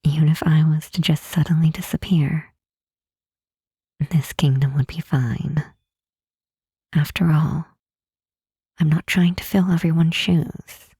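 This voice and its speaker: A gentle demon queen, acted by a woman, speaks in a mellow, heavily husky voice at a slow, deliberate pace. She is soft and reassuring, in the intimate manner of an ASMR roleplay. The sound is close and dry. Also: sits low, steady tone, no echo, heavily acted. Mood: gentle, caring. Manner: slow, tender, soothing, with deliberate pauses. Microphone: close mic, dry.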